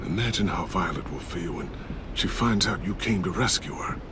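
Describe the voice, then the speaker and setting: An American actor playing a gentle, fatherly survivor in a video game, speaking softly and tenderly with unhurried reassurance. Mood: reassuring. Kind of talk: naturalistic video game voice acting. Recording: steady vehicle rumble behind voice.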